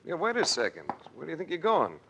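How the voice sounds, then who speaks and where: An actor playing a wry, hard-boiled adventurer in a 1940s radio detective drama. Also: male voice, fairly high pitch, wildly swooping pitch, quick pace, vocal fry, exaggerated voice. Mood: tough. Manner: dry, wisecracking. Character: wry, hard-boiled male adventurer telling his own story. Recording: noisy old radio broadcast recording.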